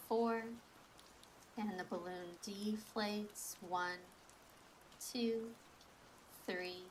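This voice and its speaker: A woman leading a guided breathing meditation as an instructor, in a soothing, measured, instructional manner. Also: mid-range voice, a little hiss or hum, rough recording.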